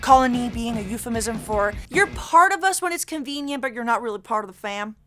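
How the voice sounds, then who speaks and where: Young woman, venezuelan accent, sarcastic joking rant, fairly high voice, music underneath. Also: lively intonation, fairly quick. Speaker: comedy web show host. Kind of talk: comedic rant.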